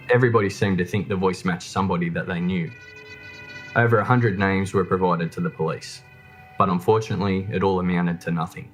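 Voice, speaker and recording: Male true crime narrator, low register, scripted documentary read, faint music underneath.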